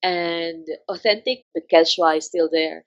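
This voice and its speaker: A woman's voice: on the low side, fairly even intonation, a little slow, highs cut off. A woman, English not her first language, chatting as a travel blogger guest in an interview, with many fillers and frequent laughs. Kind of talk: casual interview chat.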